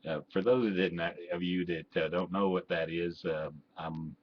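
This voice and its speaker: A man's voice: sits low, fairly even intonation, moderate pace. An older man with a Texan accent, talking bluntly and indignantly straight to camera, full of "uh"s and restarts. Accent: Texan, mixed with Southern. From Texas. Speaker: vlogger and ham radio operator. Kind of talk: opinionated rant to camera. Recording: dull lo-fi home recording with faint noise.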